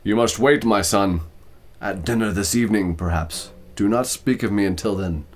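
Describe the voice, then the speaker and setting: A man's voice: fairly low pitch, moderate pace, natural voice. A man acts out an elderly aristocratic tomcat from a storybook, grave and reserved. He speaks with a British accent and a formal, theatrical dignity. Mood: weary, solemn. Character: dignified, reserved old male cat, aristocratic father. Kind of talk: storybook reading with character voices.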